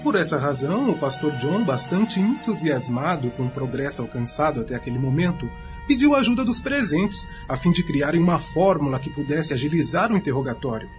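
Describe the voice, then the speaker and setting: A man's voice: fairly high pitch, sing-song, brisk, few pauses. A man with a Brazilian accent reading scripted narration for a radio drama. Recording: dull lo-fi, faint music underneath.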